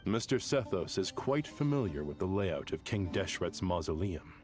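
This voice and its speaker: A man's voice: sits low, sing-song, normal speed. A man playing a composed, dutiful leader with a formal, courteous manner in a video game. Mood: businesslike, courteous. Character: composed, dutiful male leader giving assignments. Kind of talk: scripted video game dialogue. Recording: game music under the voice.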